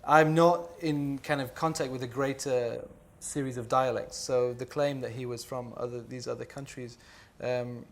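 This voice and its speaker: A man's voice: medium pitch, steady tone, moderate pace, hardly any pauses. A man from Jordan speaking at a panel talk, reflective and hesitant, with many fillers and restarts.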